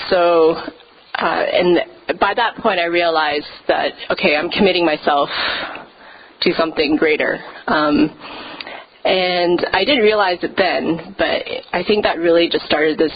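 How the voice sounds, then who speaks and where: Lower-voiced woman sharing testimony. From Canada. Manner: casual and conversational, with frequent hesitations. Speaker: pastor. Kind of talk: personal testimony at a church service. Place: church sanctuary. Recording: muffled lo-fi church recording.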